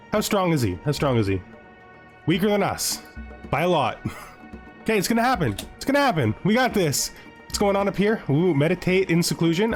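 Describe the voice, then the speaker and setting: A man with a slightly high voice gives playful gameplay commentary as a video host. He talks to himself in an animated way, often repeating his phrases. Faint music plays behind him. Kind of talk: playful gameplay commentary with a scripted lore narration.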